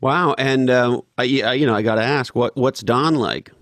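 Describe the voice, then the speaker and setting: A man's voice: mid-range voice, moderate pace, fairly bright tone, no echo. A man hosting a podcast, teasing his guest and swearing casually. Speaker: podcast host.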